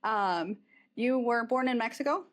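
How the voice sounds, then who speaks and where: Woman, podcast host, slightly higher pitch, casual interview chat, conversational questions. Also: measured pace.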